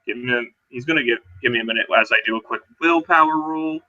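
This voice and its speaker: A man playing a tabletop roleplaying game, narrating and chatting casually in a halting, self-correcting way. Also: medium pitch, pushed and bright, muffled recording.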